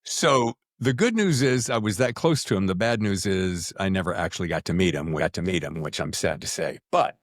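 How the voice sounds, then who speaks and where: A middle-aged man hosting a podcast in casual interview chat, telling anecdotes in an animated way and acting out dialogue. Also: deep voice, a little fast, few pauses, no room sound, studio quality. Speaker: podcast host.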